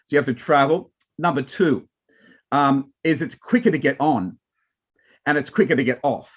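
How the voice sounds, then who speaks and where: A man's voice: medium pitch, moderate pace, slightly rough. An Australian man talks casually as a panel guest and rambles through restarts and fillers. The recording sounds slightly dull and muffled. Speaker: wine marketer guest.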